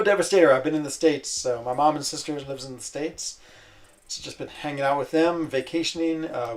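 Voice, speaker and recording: Man, gravelly voice, mid-pitched, casual gameplay chatter, rambling with fillers. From Switzerland. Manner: rambling, self-interrupting chatter with fillers and sudden exclamations. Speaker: gaming streamer. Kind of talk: casual commentary while playing a game.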